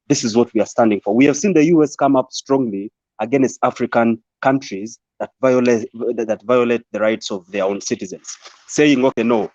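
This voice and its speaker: Earnest guest, mid-pitched man, repeats himself when building a case, dry and muffled call sound. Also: darkish tone, no echo. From Uganda. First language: Ateso and Swahili. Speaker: panel guest. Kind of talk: online panel discussion.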